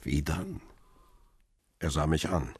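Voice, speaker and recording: A man's voice: rumbling low, takes it slowly, breathy edge, dry recording. A man narrating a crime radio play in the first person and playing its brooding lead.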